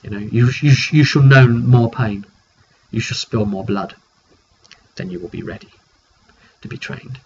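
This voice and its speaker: Man playing an ominous sorcerer mentor, solemn, hushed, fantasy game character, dull sound. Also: lowish voice, unhurried, pauses often, a little hiss or hum. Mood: grave, foreboding. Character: grave, ominous blood magic mentor warning his pupil.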